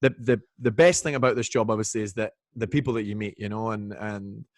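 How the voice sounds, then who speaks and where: A man's voice: lowish voice, fairly even intonation, brisk, no echo, clean studio sound. A Scottish man, a guest on a video call, talking about whiskey in an easygoing, chatty way with plenty of fillers. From Scotland. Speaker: whiskey brand ambassador, guest. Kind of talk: casual interview.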